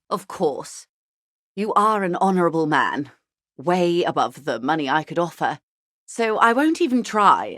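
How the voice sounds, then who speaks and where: Older corporate negotiator lady for a game, low pitched, very refined and wry, shrewd, dry studio sound. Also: dry recording. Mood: wry, coaxing. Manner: genteel, measured, wryly diplomatic. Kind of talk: video game character dialogue.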